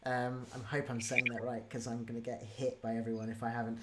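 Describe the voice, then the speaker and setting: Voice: medium pitch, little pitch movement, fast, heavy background noise. Casting: livestream host, mid-range voice, informal, chatty, enthusiastic. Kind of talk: casual livestream chat.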